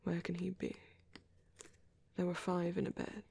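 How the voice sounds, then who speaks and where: Breathy, whispery, shy vampire voice actor's role. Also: medium pitch, completely flat delivery, no echo. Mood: softly reading aloud. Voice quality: soft, breathy, often whispered. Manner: hesitant, halting, murmured musing. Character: shy, gentle young vampire boyfriend on a date. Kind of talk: ASMR roleplay, soft rambling.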